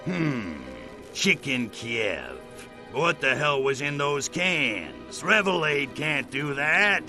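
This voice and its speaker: A cocky alien invader from a video game, with loud music behind. He is brash and sarcastic, slow to spit out each sneer, his pitch swinging widely with irritation and contempt. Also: very animated, full character voice. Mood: wary, brash. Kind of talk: video game cutscene voice acting.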